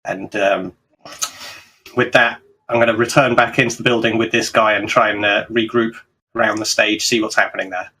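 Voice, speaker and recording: A man's voice: lowish voice, little pitch movement, moderate pace, bright, forceful tone, a little hiss or hum, poor audio. A man talking casually as a player in a tabletop roleplaying game, stating his actions and asking questions.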